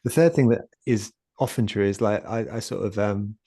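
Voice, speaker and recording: Soft-spoken guest, a man with a low, mellow voice, hesitant and full of fillers, over a dry video call. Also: normal speed, slightly rough, no echo. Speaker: guest speaker, community founder. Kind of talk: conversational talk in an online session.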